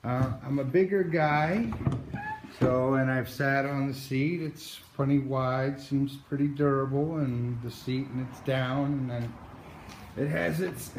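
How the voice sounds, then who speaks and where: Slow, halting man in an echoey garage. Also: medium pitch, heavy background noise. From New Hampshire. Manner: slow, halting delivery with many uh's and restarts. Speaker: outdoors vlogger. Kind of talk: casual show-and-tell walkthrough. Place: garage, then outdoors by a driveway. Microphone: handheld phone camera, moving around.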